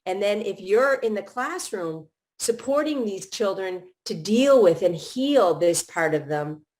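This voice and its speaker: A woman's voice: medium pitch, expressive, measured pace, a little mellow. A middle-aged woman hosting a live podcast, chatting casually in long run-on thoughts with many restarts. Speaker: podcast host.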